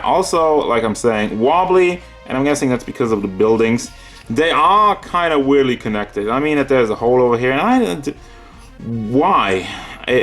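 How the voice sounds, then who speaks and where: A man giving a casual, unscripted figure review in a rambling, conversational and slightly nitpicky way. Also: medium pitch. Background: background music.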